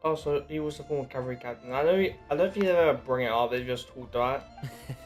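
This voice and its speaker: Man, game streamer, mid-pitched voice, casual rambling commentary, reading lines aloud, faint game music behind. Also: quick pace.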